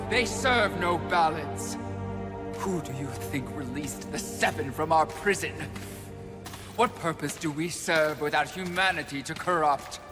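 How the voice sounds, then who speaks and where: Proud taunting demon of sin, slow, slightly high voice, heavy music behind. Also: male voice, long reverb tail. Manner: smooth, persuasive and taunting. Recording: loud game music behind voice.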